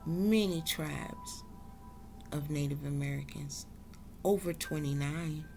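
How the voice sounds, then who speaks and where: A woman's voice: sits low, slow pace, gravelly, over music. A woman from South Carolina, talking earnestly and unhurriedly in a reflective vlog monologue. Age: adult. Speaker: vlogger.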